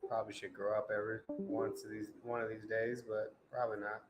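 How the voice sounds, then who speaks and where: A man's voice: lowish voice, monotone, fairly quick, soft background music. A man in his forties chatting casually, loose and profane, teasing the others with jokes. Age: 40s. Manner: loose, profane, joking banter. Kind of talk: casual group chat.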